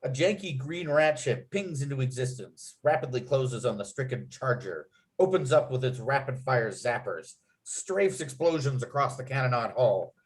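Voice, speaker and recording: A man's voice: mid-range voice, expressive, moderate pace, hardly any pauses, low-quality recording. A man reading script stage directions aloud as narrator, with clipped, dramatic scene headings. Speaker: narrator and writer.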